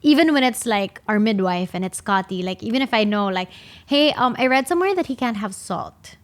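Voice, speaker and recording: A woman's voice: on the high side, animated, brisk. A young Filipino woman co-hosting a podcast, chatting casually in mixed English and Tagalog, friendly and a bit tentative, with lots of "like.". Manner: friendly, tentative, uses "like" a lot. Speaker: podcast co-host.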